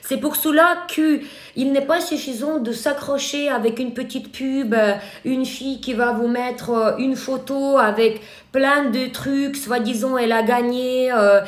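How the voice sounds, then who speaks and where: Female trainer, Ukrainian accent, higher pitch, hesitant live-stream delivery, roomy echo. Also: steady tone, unhurried. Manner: hesitant, many filler sounds and restarts. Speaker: eyelash extension trainer. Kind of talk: live online workshop talk. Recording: echoey room.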